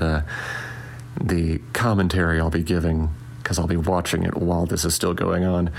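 A tired man giving sleepy, hushed updates during an all-night charity livestream at home. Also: creaky. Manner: sleepy, low-volume talk. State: exhausted from staying up all night. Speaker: charity stream host. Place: quiet house at night.

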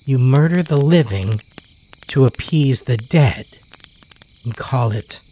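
An eerie child spirit from a horror story, acted out by a male narrator. The speech is slow and deliberate, with a dark, soft tone. It sounds solemn and quietly accusing. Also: medium pitch, animated, a little hiss or hum, pitched above the performer's own voice. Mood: cold accusation.

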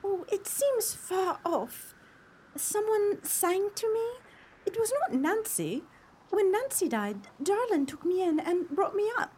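A woman playing a gentle, wistful young cottage maid in an earnest radio play. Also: very high voice, very animated, slow, a little hiss or hum, exaggerated voice.

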